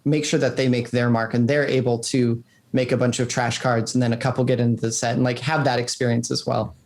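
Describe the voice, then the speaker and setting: A man's voice: mid-range voice, level tone, fairly quick. A man answering interview questions as a game developer, casual and rambling, often saying "like". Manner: rambling, peppered with "like".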